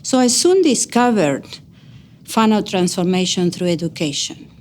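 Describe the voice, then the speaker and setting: A woman's voice: on the low side, very animated, moderate pace. A middle-aged woman from Argentina with a Spanish accent, a native Spanish speaker, gives a personal account as an educator and researcher, speaking earnestly and leaning on key words.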